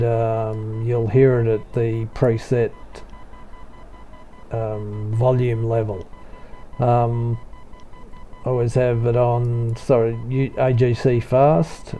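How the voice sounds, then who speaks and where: A man giving an unscripted software tutorial voice-over in a low-key, hesitant, halting way.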